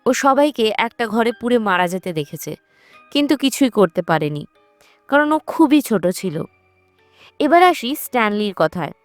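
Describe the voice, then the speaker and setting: A woman's voice: on the high side, sing-song, moderate pace, dry recording. A woman narrates a scripted horror film recap in a storytelling style, as a voice-over. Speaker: narrator of a movie recap channel. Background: background music.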